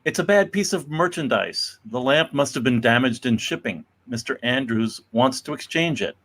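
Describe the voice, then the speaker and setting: A man's voice: medium pitch, expressive, normal speed, a bit bright. A man playing a courteous, weary middle-aged shopkeeper father, mild and placating, in a dramatic comic-book reading.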